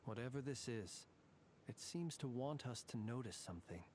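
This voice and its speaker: A man voicing a calm, serious young investigator in a game, speaking in a measured, matter-of-fact way. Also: mid-range voice, background noise, lightly acted. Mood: composed. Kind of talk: game voice acting.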